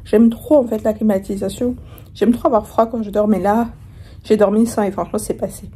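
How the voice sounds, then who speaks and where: A woman's voice: medium pitch, steady tone, a little fast, dark tone, husky. A young woman vlogging casually from her hotel room, chatty and rambling, with a sore throat and a starting cold. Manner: chatty, rambling, many fillers and restarts. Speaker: travel vlogger. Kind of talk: casual vlog chat to camera.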